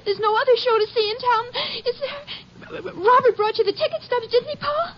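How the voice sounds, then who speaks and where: A timid young ranch girl, very high voice, hesitant, old muffled radio broadcast. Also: moderate pace. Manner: hesitant, faltering. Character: timid young ranch daughter, nervous, cowed. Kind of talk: 1950s radio drama acting.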